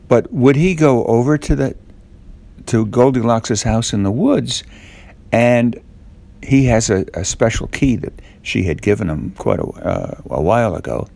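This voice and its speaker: A man telling a children's story off the cuff, in a halting way with little questions to the listener. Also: mid-range voice, creaky, darkish tone.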